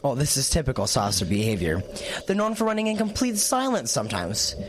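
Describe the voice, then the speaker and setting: An actor playing an awestruck, excitable teenage boy detective in an audio drama, breathless in delivery. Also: medium pitch, fairly quick, slight background noise, close to an everyday voice. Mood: hushed wonder. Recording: music bed under the voice.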